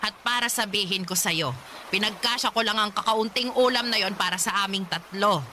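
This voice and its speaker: A woman's voice: medium pitch, brisk, sharp tone, a little hiss or hum, close to an everyday voice. A woman voicing a stern grandmother who scolds her grieving, drinking son, reproachful in a radio drama. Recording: music bed underneath.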